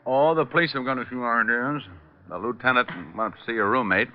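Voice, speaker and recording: An actor playing a sharp-witted private detective in an old-time radio drama, casual but probing in manner. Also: male voice, mid-range voice, brisk, close to an everyday voice. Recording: dull, noisy vintage radio recording.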